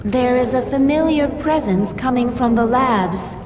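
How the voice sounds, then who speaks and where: A woman's voice: fairly high pitch, expressive, a little slow, noisy background. An actress playing a dutiful alien servant of a swarm queen in a video game, formal and reverent. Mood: watchful. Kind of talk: video game creature acting.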